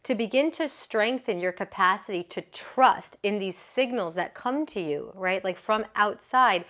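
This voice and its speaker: A woman's voice: medium pitch, unhurried, a bit bright. A woman yoga business coach giving a motivational talk, chatty and earnest, often checking in with the listener and laughing easily.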